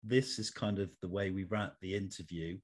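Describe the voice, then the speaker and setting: A man hosting an interview, putting prepared questions in a measured, fairly formal way. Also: low-pitched, fairly even intonation. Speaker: interview host.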